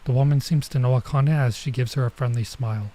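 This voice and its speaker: A man streaming a visual novel, reading it aloud with casual commentary and thinking out loud as he goes. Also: mid-range voice, fairly even intonation, quick pace. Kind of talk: let's play read-aloud with casual commentary.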